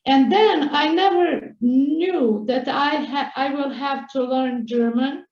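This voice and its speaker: A woman with a Serbian accent speaks high and slowly, pausing to find her words in English. Her answers come over a video call that sounds muffled and echoey, as if she is in a bare room. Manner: halting, searching for words. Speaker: guest. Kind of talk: interview answers.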